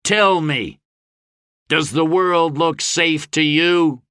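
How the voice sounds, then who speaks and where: A man's voice: a little high, slow, clear, resonant tone, dry recording, clean studio sound, voice pushed up. A man voicing a rousing fantasy mercenary leader in an audiobook, giving an impassioned, declamatory speech to an army before battle. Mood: scornful, challenging. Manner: impassioned, declamatory, shouting oratory. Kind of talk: audiobook fantasy character acting.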